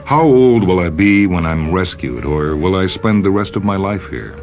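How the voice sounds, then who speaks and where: A man acts out a lonely stranded spaceman in a radio story. The voice is extremely deep, wistful and reminiscing, that of a dreamer longing for space. Faint music plays behind it. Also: lively intonation, moderate pace, a little mellow. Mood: brooding. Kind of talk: dramatic radio story reading.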